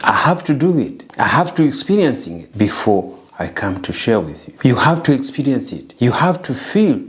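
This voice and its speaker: A man's voice: medium pitch, sing-song, normal speed. A middle-aged man from Rwanda giving an earnest, emphatic personal message straight to the viewer and repeating his phrases for weight. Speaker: vlogger.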